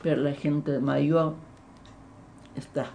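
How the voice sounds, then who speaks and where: An elderly woman with a German accent, recalling her past in an interview, halting and repeating words as she searches for them. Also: deep voice, level tone, creaky, mellow. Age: older. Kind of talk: recounting memories in an interview.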